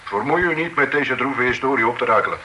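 An actor playing a presiding magistrate who questions witnesses in a measured, formal way. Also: male voice, medium pitch, expressive, sharp tone, a little hiss or hum, rough recording. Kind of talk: period radio drama acting.

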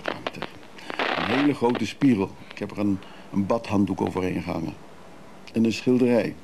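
Evasive hotel guest guy in a radio play, creaky voice, terse and halting, bit of background noise. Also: lowish voice. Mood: halting, reflective.

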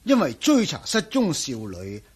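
A testy male professor in a Cantonese radio drama with a Hong Kong accent. The voice is raised and extremely raspy, swinging about as he blusters and protests, evasive and easily flustered. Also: sits high, wildly swooping pitch, normal speed, exaggerated voice. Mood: sardonic. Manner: blustering, stammering.

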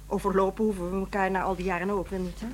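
An actress playing an unsentimental middle-aged ex-wife in a radio play, matter-of-fact and impatient. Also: female voice, medium pitch, little pitch movement, racing, noisy background, natural voice. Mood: practical advice. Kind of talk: naturalistic radio play acting.

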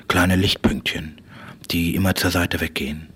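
A man speaks in a very low register with a breathy, husky edge. He delivers a literary monologue for radio in clipped, stark phrases that come back in repetition. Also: steady tone, normal speed, fairly bright tone. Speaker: writer.

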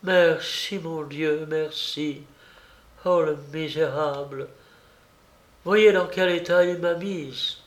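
A man voicing a distraught young wife who speaks in a broken, plaintive, halting way, in an audiobook story. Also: low-pitched, fairly even intonation, echoey room. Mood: relieved, tearful. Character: distraught, battered young wife, bitter and sobbing.

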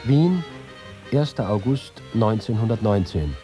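Radio feature role: grave reporter reading diaries, low mellow voice, faint music behind. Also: male voice, lively intonation, measured pace. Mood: earnest. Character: man reporter reading his war notes and letters. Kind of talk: dramatic literary reading.

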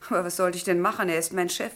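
A woman's voice: fairly low pitch, fairly even intonation, brisk, a bit bright, close to an everyday voice. An actress playing a candid, somewhat flustered young woman giving her account in a German crime radio play.

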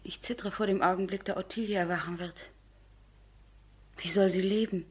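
An actress playing a grieving, resolute noblewoman in a radio play, very breathy and often near a whisper. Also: medium pitch, steady tone, moderate pace, close to an everyday voice. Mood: anguished, resolute. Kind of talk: German radio play acting.

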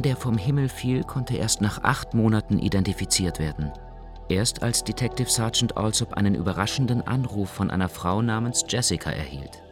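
A man narrating a radio documentary in a sober, even way. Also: on the low side.

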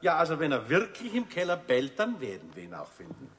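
A man's voice: fairly high pitch, huge pitch swings, fairly quick, a bit bright. An actor playing a formal, skeptical male householder in a children's radio play. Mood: suspicious. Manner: stiff, skeptical. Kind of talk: 1970s German children's radio play acting.

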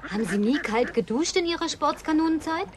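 High-voiced, bossy, playful woman. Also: lively intonation, a little fast. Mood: teasing, curious. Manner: commanding, teasing, exclamatory. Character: bossy, playful, high-spirited woman among New York's ruins. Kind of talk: German radio play dialogue acting.

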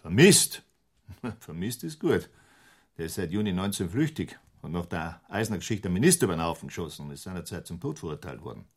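Deep, patronising Bavarian official. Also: male voice, big pitch swings, brisk, husky, full character voice. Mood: scornful, amused. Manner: patronising, sardonic. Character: condescending, self-satisfied male provincial official in 1920s Bavaria. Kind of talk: radio crime drama acting.

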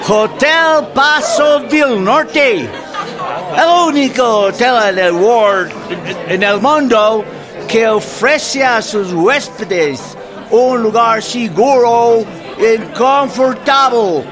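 A man's voice: extremely high pitch, lively intonation, drawn-out words, heavily acted. An actor playing a hotel barker in a radio drama, hawking like a showman and mixing English into Spanish. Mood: hawking, eager. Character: hotel barker hawking seats to watch a revolution. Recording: music and crowd behind.

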